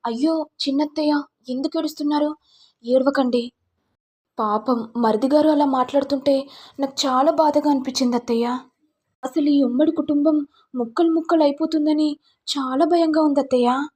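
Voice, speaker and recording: A woman's voice: high-pitched, moderate pace, long pauses, a little mellow, breathy edge, voice pushed up. A woman voicing a scheming young daughter-in-law in a family story, gloating and falsely sweet. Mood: feigned worry. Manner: gloating, mock-concerned.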